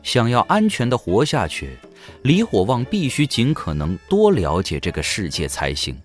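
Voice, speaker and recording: A man's voice: medium pitch, huge pitch swings, moderate pace, faint music behind. A man narrating an audio drama in a dramatic, scripted storytelling style.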